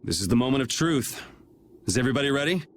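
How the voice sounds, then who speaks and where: A man's voice: mid-range voice, lively intonation, quick pace, a little hiss or hum, close to an everyday voice. A man playing an earnest, resolute young swordsman leading his party in a video game. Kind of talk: English video game voice acting.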